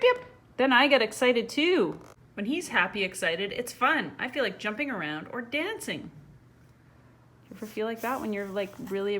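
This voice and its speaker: A woman reading a picture book aloud to children in a casual, conversational way, pausing to put questions to the listener. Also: medium pitch, a bit bright. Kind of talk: casual read-aloud with chatty asides to young viewers.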